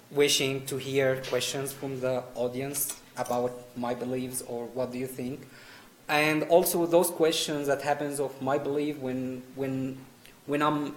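A man from Egypt on a discussion panel, answering hesitantly with repeated words and acted-out quotes. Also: medium pitch. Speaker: panel guest. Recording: echoey room with faint noise.

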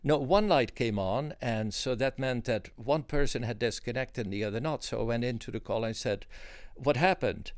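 A man's voice: mid-range voice, moderate pace, dry recording, studio quality. Older man recalling his old job. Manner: reminiscing, detailed, frequent uh fillers. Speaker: interviewee, former switchboard operator. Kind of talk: conversational storytelling.

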